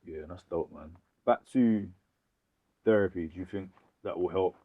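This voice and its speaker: A man's voice: sits low, moderate pace, no echo, narrow, tinny audio. A man with an urban British accent interviewing someone casually, with informal slang and affirming remarks.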